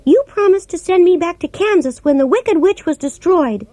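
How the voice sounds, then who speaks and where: A woman doing a high, eager little girl. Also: expressive, moderate pace, warm tone, no echo. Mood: insistent. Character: earnest young girl, bold.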